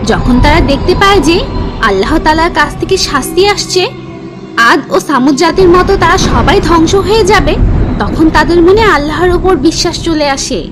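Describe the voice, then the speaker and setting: A woman acting as a cartoon teacher narrates religious tales for children. The voice is extremely high and moves animatedly up and down. Music plays underneath the scripted storytelling. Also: animated, moderate pace. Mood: instructive.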